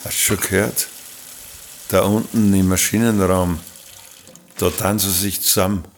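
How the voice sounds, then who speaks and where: A man's voice: low-pitched, steady tone, moderate pace, a little hiss or hum. A man playing a brooding, suspicious, mutinous seaman in a radio play. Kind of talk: slow radio play monologue.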